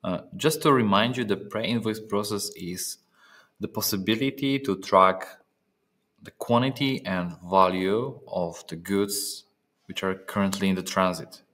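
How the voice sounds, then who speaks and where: A man narrating a step-by-step software training demonstration, speaking haltingly with pauses in mid-phrase. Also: on the low side. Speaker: software trainer presenting a tutorial.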